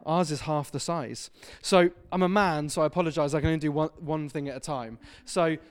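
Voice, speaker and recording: A man in his thirties from Devon gives a friendly, enthusiastic sermon to a church, often joking at his own expense. His voice sounds smooth and resonant and sits a little high for a man. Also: steady tone, fairly quick. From England (Devon). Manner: chatty, self-deprecating, enthusiastic. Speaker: visiting preacher. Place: church hall.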